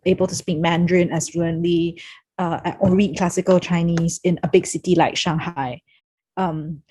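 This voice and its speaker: A woman's voice: on the low side, darkish tone. A woman with a Singaporean accent speaking reflectively as a panel moderator on a video call, hesitantly thinking aloud.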